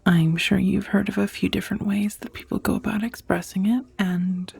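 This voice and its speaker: Casting: actress as sheepish yet teasing dominatrix for ASMR date roleplay; very breathy, soft near-whisper, close dry mic. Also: female voice, mid-range voice, level tone, normal speed, dry recording, full character voice. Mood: sheepish, hesitant. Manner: hesitant, confiding, teasing, with sighs. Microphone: close, dry ASMR microphone.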